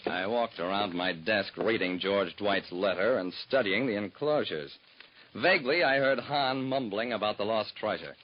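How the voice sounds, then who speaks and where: A man's voice: lowish voice, moderate pace, slight background noise, natural voice. An actor playing a private detective who narrates his own cases in wry, hard-boiled first person, in 1940s radio drama style, on a dull lo-fi old recording.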